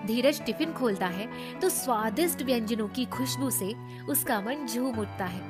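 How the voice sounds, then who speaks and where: A woman's voice: on the high side, animated, moderate pace, faint music behind. A woman narrating a scripted moral bedtime story for children as the storyteller.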